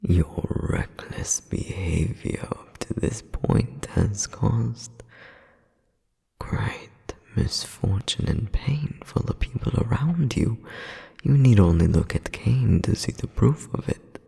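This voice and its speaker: A man's voice: on the low side, expressive, slow, dark tone, heavily acted. A man playing a cold, domineering demon nobleman scolding as an older brother, with haughty, formal menace in an ASMR audio roleplay. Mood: accusing. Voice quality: breathy, gravelly half-whisper. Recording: long cavernous reverb.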